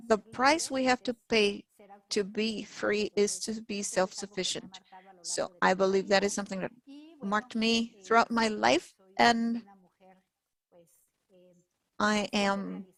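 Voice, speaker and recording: Higher-voiced woman speaking in halting phrases. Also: low-quality recording.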